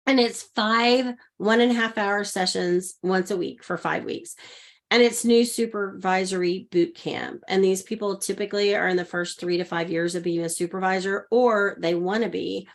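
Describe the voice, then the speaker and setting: Middle-aged woman, coach, warm-pitched mid voice, upbeat, story-driven. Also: steady tone, normal speed. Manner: earnest and upbeat, laughing often. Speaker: leadership coach, guest. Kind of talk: personal storytelling in conversation.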